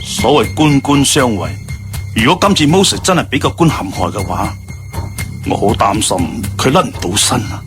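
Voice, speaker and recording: A man playing a measured, protective businessman in a radio drama. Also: mid-range voice, close to an everyday voice. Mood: worried, probing. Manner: measured, serious, expressive. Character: concerned, measured businessman, a protective brother-in-law. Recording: music bed under the dialogue.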